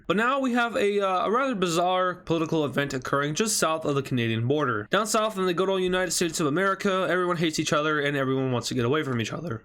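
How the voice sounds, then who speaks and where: A man's voice: on the high side, fairly bright tone. A man sounding stuffy from allergies, giving casual, conversational commentary as a video host, with informal self-deprecating asides. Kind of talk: casual commentary over a map scenario video.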